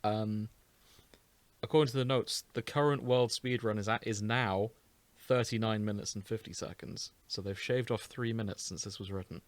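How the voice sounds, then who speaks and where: A man's voice: fairly low pitch, fairly even intonation, moderate pace, no room sound. Casual male host, dry close sound. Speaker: host of a fan fiction reading show. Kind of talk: casual chat.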